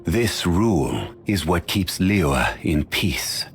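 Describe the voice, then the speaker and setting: An actor voicing a dignified, ancient, learned man in a video game, speaking in a measured, formal and courteous way. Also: low voice, very animated, slightly rough, full character voice. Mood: calm, formal.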